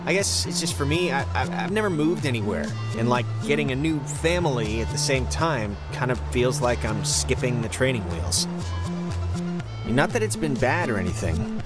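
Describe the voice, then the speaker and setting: A man's voice: mid-range voice, lively intonation, normal speed, over loud music, natural voice. Man playing an earnest, hesitant American teenage guy. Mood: earnest, friendly. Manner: hesitant, apologetic, casual. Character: laid-back, earnest teenage stepbrother, somewhat awkward. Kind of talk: naturalistic video game acting.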